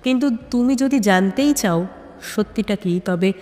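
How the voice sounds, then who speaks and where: A woman voicing a mysterious, remorseful letter writer in a solemn, measured manner for an audio story. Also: medium pitch, darkish tone, natural voice. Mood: solemn, secretive. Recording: long cavernous reverb on the voice.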